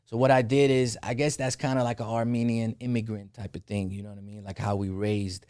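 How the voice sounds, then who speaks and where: A man's voice: on the low side, flat delivery, brisk, darkish tone, no room sound, clean studio sound. A young man from the Netherlands, a rapper talking casually as a podcast guest, loose and peppered with "you know what I'm saying.". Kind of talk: casual interview conversation.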